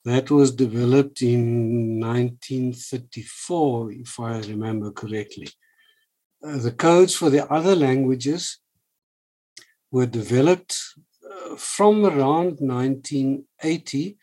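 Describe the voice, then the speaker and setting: Brief: expert, mellow tone, recalling facts for a panel. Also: male voice, mid-range voice, rough recording. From South Africa.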